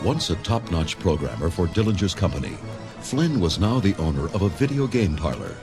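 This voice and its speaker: A deep-voiced man narrates a recorded adventure tale. His dramatic scripted delivery is very lively in intonation, carried over prominent background music. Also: brisk. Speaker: storybook narrator.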